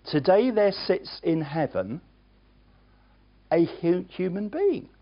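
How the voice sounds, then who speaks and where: Preacher, sermon delivery, very lively pitch swings, unhurried, on a dull lo-fi recording. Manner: emphatic preaching with chatty asides.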